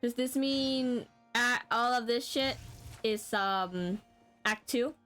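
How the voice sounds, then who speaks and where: A young woman from Denmark streams a game and chats casually. Her voice sits on the high side. She is excitable and giggly, and swears freely. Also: slow pace, soft background music. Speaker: live streamer. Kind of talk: casual gaming livestream chat.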